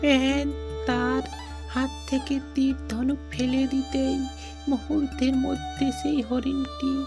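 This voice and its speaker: A woman narrating a scripted cartoon story in a deliberate, moralizing storyteller's manner. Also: high voice, level tone, music in the background.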